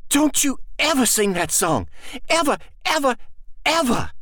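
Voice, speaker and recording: A man voicing a grief-worn mother who scolds her children sharply in a high voice. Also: huge pitch swings, measured pace, no room sound, clean studio sound, exaggerated voice. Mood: frantic. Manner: sharp, scolding, rising to a shrill cry.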